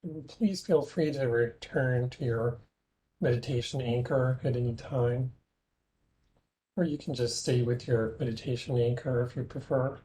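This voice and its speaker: A man guides a meditation in a very soft-edged, dark and mellow voice at a middling pitch. He speaks gently and in measured phrases, leaving plenty of space between them as he invites the listener to imagine. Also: rough recording. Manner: gentle, measured, with long pauses.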